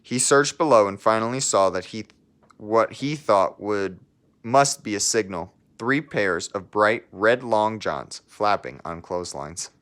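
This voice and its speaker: A man reading a children's storybook aloud like a teacher, in measured narration with casual asides. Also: fairly low pitch, expressive, fairly bright tone.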